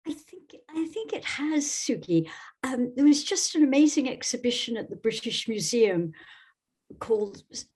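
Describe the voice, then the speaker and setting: A woman from Scotland giving thoughtful, halting conversational answers as a podcast guest. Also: fairly high pitch, big pitch swings, a little mellow, breathy edge.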